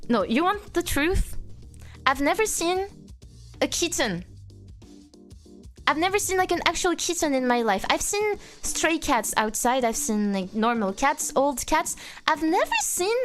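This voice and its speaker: A young woman from France speaks in English with a clear French accent, her voice sitting high and swooping around lively. She chats casually with a live-stream audience, teasing and reading messages aloud. Soft music plays faintly underneath. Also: unhurried. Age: 20s. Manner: playful, reads chat aloud, frequent laughter.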